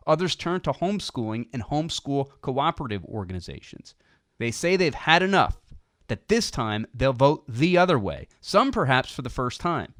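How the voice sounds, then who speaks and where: A man reading scripted political nonfiction as an audiobook narrator, with emphatic, deliberate phrasing. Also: mid-range voice, a bit bright, dry recording, clean studio sound.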